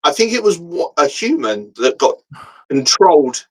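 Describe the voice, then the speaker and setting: A man's voice: on the high side, sing-song, moderate pace. A man co-hosting a casual geek chat, explaining things in a hesitant, thoughtful way. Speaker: show co-host.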